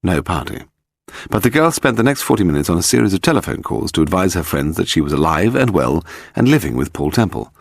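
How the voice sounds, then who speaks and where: A British man narrates a story from a script. His voice is low and creaky, with expressive, lively intonation, recorded dry and close. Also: fairly quick, no echo. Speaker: audiobook narrator.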